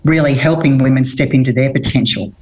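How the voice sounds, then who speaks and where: A middle-aged Australian woman, a women's coach, answering interview questions in a thoughtful, earnest way with pauses and restarts. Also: a bass voice, darkish tone.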